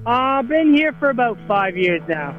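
A man's voice: very high voice, very animated, normal speed, phone-quality sound, over music. A man being interviewed as a musician over a call line, easygoing and hesitant, with lots of fillers and restarts. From Canada. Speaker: musician guest. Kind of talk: casual interview answers. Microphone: remote call line.

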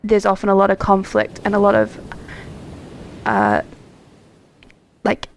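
A woman's voice: medium pitch, big pitch swings, measured pace, vocal fry, a little hiss or hum, low-quality recording. A young woman co-hosting a podcast, talking in a chatty, reflective way with plenty of "like" fillers. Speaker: podcast co-host. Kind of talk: casual chat.

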